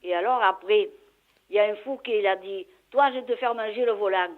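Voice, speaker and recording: A woman's voice: mid-range voice, brisk. A mature woman chatting casually on the telephone, reacting with exclamations. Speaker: prank call victim. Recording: tinny narrowband phone line.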